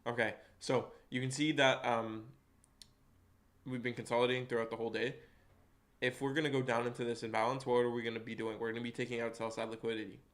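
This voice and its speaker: A man live-streaming day-trading commentary, hoarse and losing his voice, who chats with viewers and walks through charts in a casual way. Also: mid-range voice, level tone, fast talker, a bit bright. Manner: chatty, greets chat viewers by name.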